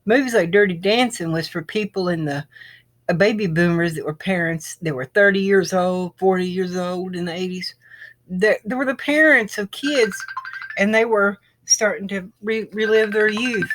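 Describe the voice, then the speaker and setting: A woman's voice: mid-range voice, moderate pace, fairly bright tone. Casting: woman, middle-aged, mid-range voice, informal video creator who rambles and restates points. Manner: repetitive, circling back over phrases. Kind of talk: informal rambling explainer to viewers.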